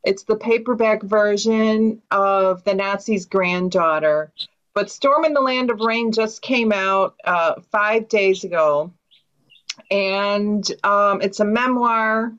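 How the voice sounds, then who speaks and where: A woman's voice: mid-range voice, lo-fi sound. Middle-aged woman author on a video call. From Chicago, USA. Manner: earnest, steady, with frequent um and uh. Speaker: author, panel speaker. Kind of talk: personal account on a panel talk. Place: home study with bookshelves, indoors.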